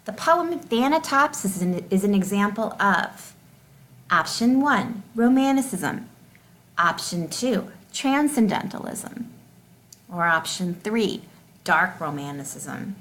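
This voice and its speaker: A woman with an average-pitched voice reads out a test like a teacher. Her delivery is deliberate and enunciated, with the numbered questions and their options kept clearly separate. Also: big pitch swings, a bit bright. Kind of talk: reading test questions and answer options aloud.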